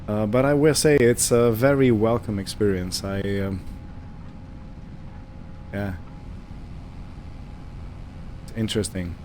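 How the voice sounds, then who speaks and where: A man's voice: lowish voice, fairly even intonation, a little slow, a little mellow, slight background noise. A man giving casual, musing gameplay commentary, hesitant and thinking aloud as he goes. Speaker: gaming video host.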